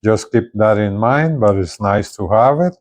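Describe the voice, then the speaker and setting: A man presents a casual hands-on review in a fairly low voice. He takes his time, speaking very slowly and haltingly, and often stops to check that viewers follow. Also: lively intonation, a little mellow. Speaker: gadget reviewer presenting a CB radio. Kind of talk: casual hands-on product demonstration.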